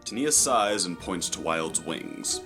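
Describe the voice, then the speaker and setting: A man's voice: low-pitched, lively intonation, slow pace, sharp tone, soft background music. A man reading scripted, dramatic third-person story narration for a fantasy audio drama.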